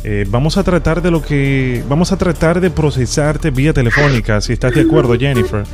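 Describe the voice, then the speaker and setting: A man playing a stern, unhurried official in a prank phone call, speaking formally and deliberately with feigned sympathy. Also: medium pitch, natural voice. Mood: solemn, official. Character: stern, unhurried official announcing an impending arrest. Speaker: prank caller, host of a phone prank show. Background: music.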